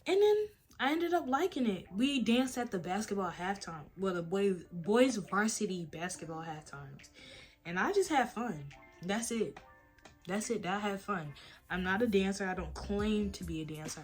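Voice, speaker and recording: A woman's voice: medium pitch, moderate pace, faint music behind. A young adult woman, chatting casually and telling stories in a late-night Q&A at home. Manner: chatty, animated storytelling with lots of "like" and "y'all". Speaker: vlogger. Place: at home, face mask on.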